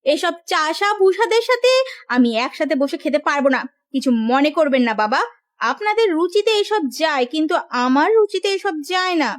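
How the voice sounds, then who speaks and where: Casting: snobbish melodramatic cartoon sister-in-law, piercingly high, wildly animated delivery. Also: female voice, moderate pace. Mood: scornful. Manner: scornful, theatrical. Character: haughty, spiteful, melodramatic young sister-in-law villainess.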